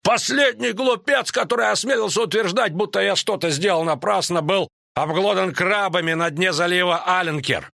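A furious island warrior lord from a fantasy audiobook, acted by a male narrator. He bellows coarse insults in a raised, forceful voice, recorded dry. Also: high voice, creaky, no echo, voice pushed up, exaggerated voice.

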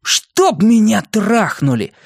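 A man's voice: sits high, sing-song, normal speed, dry recording, clean studio sound, voice pushed up. A man voicing a practical young boy in an audiobook, speaking in a blunt, emphatic way. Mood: amazed.

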